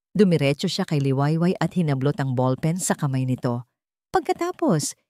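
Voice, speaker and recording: Female storyteller for dramatic fiction, soft dark timbre, lower register, close dry recording. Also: no room sound. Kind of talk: dramatic scripted narration.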